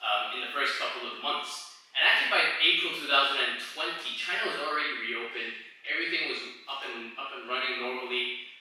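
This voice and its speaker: A man in his thirties explains in a measured, steady way, his voice bright and sharp. He sounds a little far off the mic, and a large hall rings around every word. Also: mid-range voice. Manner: explanatory, measured with occasional fillers. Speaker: investment specialist, panelist. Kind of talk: panel discussion. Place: event hall. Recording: echoey hall, distant mic.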